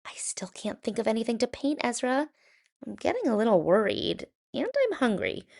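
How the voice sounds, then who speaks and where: A woman voicing an earnest, worried male painter in a children's picture book, with animated, expressive delivery. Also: fairly high pitch, moderate pace, gravelly, voice pushed up, exaggerated voice.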